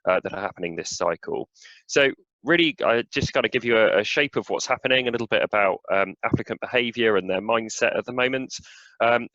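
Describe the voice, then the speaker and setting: A man with a cold, hoarse as he presents a slide update to a webinar audience, with many "uh"s and "um"s. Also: fairly low pitch, fairly bright tone, no room sound, dull audio. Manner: filler-heavy, frequent "uh" and "um". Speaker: senior relationship manager giving an update.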